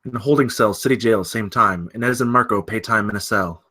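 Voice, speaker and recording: A man's voice: on the low side, a little fast, bright, forceful tone. A man narrating screenplay stage directions in a dramatic, scripted style at a table read.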